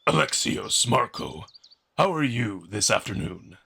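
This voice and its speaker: A man's voice: lowish voice, sing-song, a little slow, vocal fry, pushed and bright, a little hiss or hum, full character voice. A man voicing a stern old wolf emperor who speaks formally and with menacing restraint.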